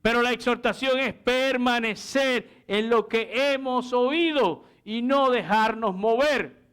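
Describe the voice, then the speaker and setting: A man's voice: very high voice, slow pace, fairly bright tone, clear, resonant tone. A man preaching a sermon in a didactic manner, explaining scripture and putting rhetorical questions to his listeners. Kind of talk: sermon with scripture exposition and anecdotes.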